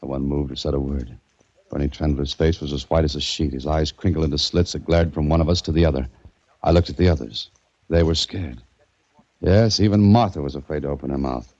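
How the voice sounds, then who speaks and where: An American man playing a calm, inquisitive adventurer, narrating a mystery in measured 1940s radio style. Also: very deep voice. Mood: tense narrating. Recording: muffled old-time radio recording, music behind.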